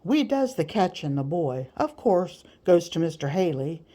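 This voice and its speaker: A woman's voice: lowish voice, big pitch swings, moderate pace, mellow. A woman voicing a sly, boastful male slave catcher with rustic grammar in an audiobook. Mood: eager scheming. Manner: rustic nonstandard grammar, gleefully bragging. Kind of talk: audiobook character voice.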